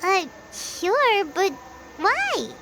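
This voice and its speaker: A woman voicing a tiny, childlike, excitable fairy companion in an anime game. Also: very high voice, very slow, background noise, exaggerated voice. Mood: curious. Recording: game audio with loud background music.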